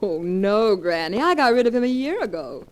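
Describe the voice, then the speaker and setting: A woman's voice: mid-range voice, big pitch swings, moderate pace, natural voice. A woman playing a gushing, coquettish society granddaughter in a 1940s radio drama, on an old recording. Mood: smooth, coaxing.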